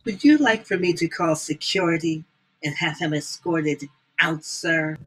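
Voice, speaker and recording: A woman's voice: deep voice, a little slow, poor audio. A woman voicing a flustered personal secretary who apologises while catching her breath.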